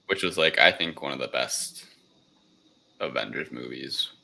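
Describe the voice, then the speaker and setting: A man's voice: a bass voice, measured pace, vocal fry, sharp tone. A man chatting casually as a podcast panelist, tentative and loose in his phrasing. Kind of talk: casual chat.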